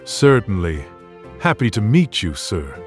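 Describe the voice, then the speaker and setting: A booming, gaunt professor of medicine in an audio mystery. He talks slowly with broad, lively intonation, bluff and cordial, with music behind. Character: tall, gaunt, booming male doctor of toxicology. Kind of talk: audiobook drama acting.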